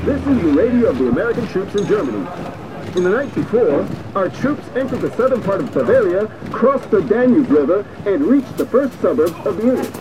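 High, animated wartime radio newsreader, noisy. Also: male voice, brisk. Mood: urgent. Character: urgent US Army radio war newsreader. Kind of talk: 1940s radio news announcement. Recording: noisy old military radio broadcast.

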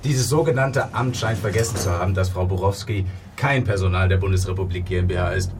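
A man's voice: low-pitched, a little fast, close to an everyday voice. Actor as stiff sovereign-citizen man, off-mic. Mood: smug, stiff. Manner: formal, declarative phrasing. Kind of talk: naturalistic radio drama acting. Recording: distant, noisy location sound.

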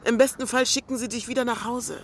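A woman's voice: on the high side, steady tone, quick pace. An actress playing a caring, frank young woman in a radio drama, coaxing and matter-of-fact with her friend. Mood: frank, reassuring.

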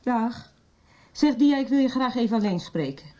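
Actress doing cross mother in 90s radio drama, high pitched, dark-ish tone. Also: animated, normal speed, highs cut off, natural voice. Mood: stern, irritated. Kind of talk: 1990s radio soap acting.

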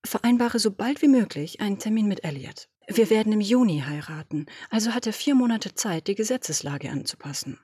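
A domineering woman boss with a husky, breathy voice, as a woman reads her fires off brisk orders and brushes aside objections. She sounds dry and close to the microphone, like a home audio drama recording. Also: medium pitch, animated, mellow, no echo. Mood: impatient, imperious. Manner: commanding, brisk, dismissive. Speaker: podfic reader. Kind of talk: German audio drama reading.

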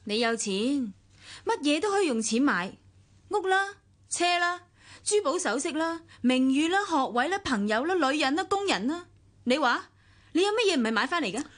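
A woman's voice: sits high, sing-song, moderate pace. A woman with a Hong Kong accent acting a weary, proud young wife in a radio drama, with a cutting, sardonic manner. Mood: bitter. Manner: cutting, sarcastic. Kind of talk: Cantonese radio drama acting, monologue and dialogue.

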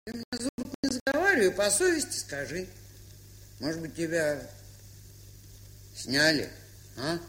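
An actress playing a gruff, stubborn but kind-hearted old aunt in a radio play, with an aged, husky, folksy, grumbling way of talking. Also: female voice, on the low side, very animated, measured pace, long pauses, a bit bright. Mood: probing. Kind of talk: Soviet radio play acting.

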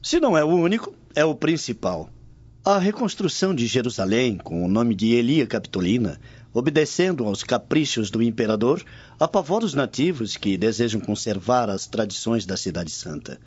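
A man's voice: mid-range voice, very animated, normal speed, darkish tone, husky. A man playing a compassionate, critical Roman nobleman in a radio drama, speaking in a grave, declamatory manner. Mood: grave, indignant. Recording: muffled radio drama with background music.

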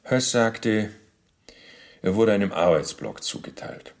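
A deep-voiced male bureaucrat under interrogation. His speech is gravelly and stiff, full of official phrasing. He justifies himself pedantically and pushes responsibility onto others, as in a documentary radio drama. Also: animated, slow pace. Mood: self-justifying.

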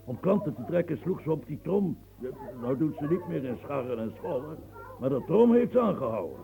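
A man's voice: a little high, big pitch swings, fairly quick, creaky, low-quality recording. An actor playing an aging, boastful, womanizing old nobleman who rambles, chuckles, coughs and stammers in a radio play. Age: older.

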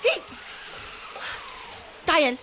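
A woman's voice: very slow, sharp tone, slight background noise. A woman playing a respectful, earnest young heroine in a Cantonese martial-arts radio drama. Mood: urgent.

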